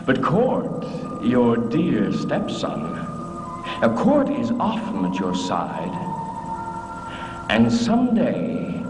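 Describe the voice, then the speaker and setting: A man's voice: medium pitch, huge pitch swings, heavily acted. An actor playing a gloating, vengeful man who taunts his enemy in a mocking, theatrical gothic soap opera manner. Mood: taunting menace. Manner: mocking, drawn-out, menacing theatrical delivery. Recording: long hall reverb, music behind. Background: music.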